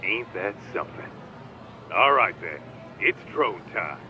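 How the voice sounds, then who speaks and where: An actor playing a brash, teasing detective's sidekick in a video game, sardonic and slangy. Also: male voice, low-pitched, big pitch swings, unhurried, muffled recording, a little hiss or hum. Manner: sardonic, rough slangy talk. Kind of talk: video game dramatic acting.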